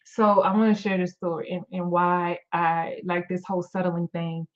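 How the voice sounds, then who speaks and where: A woman's voice: on the low side, flat delivery, moderate pace, lo-fi sound. A middle-aged woman life coach on a live stream, tired and losing her voice, talking candidly with many fillers and restarts. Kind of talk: live-stream motivational talk.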